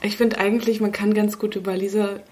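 A woman's voice: medium pitch, flat delivery, fairly quick, darkish tone. A 26-year-old woman from western Germany chatting casually as a podcast co-host, slangy and fond of acting out dialogue in her anecdotes. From Germany, Münster in western Germany. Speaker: podcast co-host, online editor. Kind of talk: casual chat, animated anecdotes.

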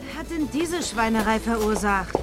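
An actress playing an indignant, grumbling supermarket worker in a German audio drama. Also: female voice, a little high, animated, normal speed, music in the background, lightly acted.

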